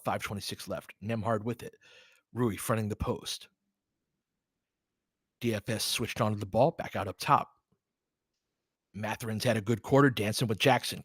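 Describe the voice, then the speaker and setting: A man's voice: mid-range voice, sharp tone, dry recording. Male basketball play-by-play commentator, dry close sound. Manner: clipped running phrases with casual fan-style asides. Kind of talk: live audio play-by-play commentary.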